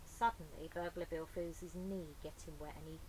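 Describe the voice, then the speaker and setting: A woman's voice: sits low, fairly even intonation, normal speed, a little mellow, low-quality recording. A woman reading a children's picture book aloud as storyteller, with playful asides to young listeners. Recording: faint background noise.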